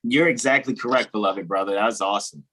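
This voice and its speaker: Playful man with a husky voice. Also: lowish voice, sharp tone. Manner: playful, quick agreeing interjections. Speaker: astrology show co-host. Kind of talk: casual astrology chat.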